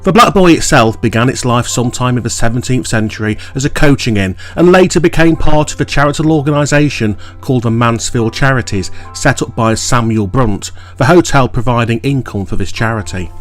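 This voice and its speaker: A man reading a scripted local history documentary in a measured, formal way. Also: medium pitch, faint music behind. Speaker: documentary narrator.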